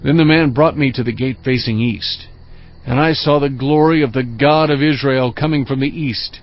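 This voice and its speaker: An actor playing a prophet who recounts his vision in measured, solemn, earnest scripture narration. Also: mid-range voice, natural voice. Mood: awed, solemn. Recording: dull sound over music bed.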